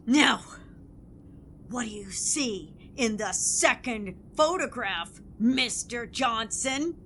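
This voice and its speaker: High, melodramatic woman playing an interrogator. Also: animated, bright, forceful tone, slight background noise. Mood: commanding. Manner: theatrical, accusatory, drawn-out. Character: pompous, melodramatic interrogator grilling a picnicker. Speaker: comedy podcast sketch performer. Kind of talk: theatrical sketch acting.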